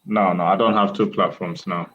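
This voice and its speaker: A man in his thirties from Ethiopia, a guest in an online interview, speaking in a measured way with fillers over a video call. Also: sits low, steady tone, crowd noise. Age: 30s. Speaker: guest entrepreneur. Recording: dull lo-fi call audio.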